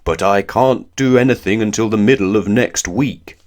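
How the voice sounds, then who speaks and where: Man putting on a huge booming giant wizard. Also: lightly acted. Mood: gruff, businesslike. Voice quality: booming, thunderous. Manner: loud, drawn-out exclamations. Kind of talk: children's storybook read-aloud.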